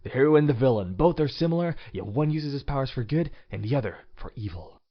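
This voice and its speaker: A man's voice: medium pitch, expressive, fast, close to an everyday voice. An actor playing a smug, scheming president in an audio drama, theatrical, condescending and mock-friendly as he poses as the heroic saviour. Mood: grandiose. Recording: dull sound under loud music.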